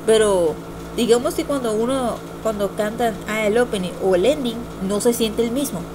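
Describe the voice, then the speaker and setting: High-voiced young woman, noisy amateur recording. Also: normal speed. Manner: rambling, repetitive, hesitant. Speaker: amateur video reviewer. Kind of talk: casual opinion vlog.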